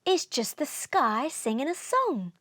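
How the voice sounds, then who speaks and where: Woman doing a friendly little boy in a kids story, high voice, reassuring, unhurried. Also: sing-song, a bit bright, no echo, studio quality, heavily acted. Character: small friendly island boy, calm and reassuring.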